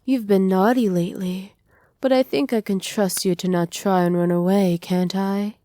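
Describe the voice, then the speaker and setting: A woman voicing a doting, possessive evil witch in an intimate ASMR roleplay, speaking softly and teasingly, often slipping into breathy whispers. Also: medium pitch, fairly even intonation, normal speed, dry recording, close to an everyday voice. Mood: doting, soothing. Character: doting, possessive evil witch pampering her captive pet. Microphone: close microphone, dry.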